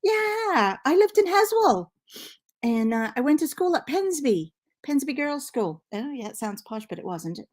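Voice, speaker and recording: A woman's voice: high-pitched, unhurried. Chatty woman from Liverpool on a live stream. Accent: Canadian English with faint Liverpool traces. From Liverpool, England. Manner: chatty, excitable, many fillers and asides. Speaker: knitting channel host. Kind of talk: casual live-stream chat. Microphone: laptop mic.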